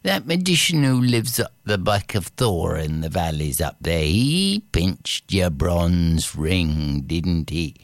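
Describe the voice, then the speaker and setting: A man voicing a crooked-nosed old man who is really the king of all the cats, gruff and scolding. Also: sits low, sing-song, slow, few pauses, no echo, exaggerated voice.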